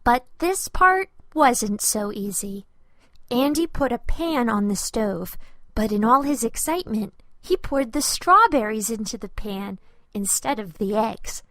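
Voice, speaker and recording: A woman's voice: fairly high pitch, expressive, a little slow, a bit bright, dry recording. A woman reading a children's storybook as a scripted narration, expressively and with careful phrasing for young listeners.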